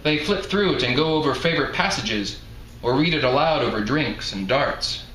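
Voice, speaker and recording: A man's voice: mid-range voice, lively intonation, normal speed, a bit bright, lightly acted. A man voicing a chatty, blunt elderly woman bartender in a folksy manner while reading a novel aloud. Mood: folksy, confiding. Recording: echoey room, faint background noise.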